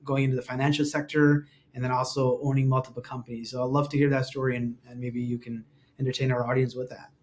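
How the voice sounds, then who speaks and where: A man's voice: medium pitch, little pitch movement, fast. A man hosting an interview over a video call, asking open, encouraging questions. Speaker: host.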